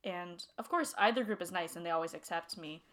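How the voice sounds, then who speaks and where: A young woman talking casually in an interview, relaxed and chatty, with frequent "you know" fillers. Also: lowish voice, fairly quick, noisy background. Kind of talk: casual reflective interview talk.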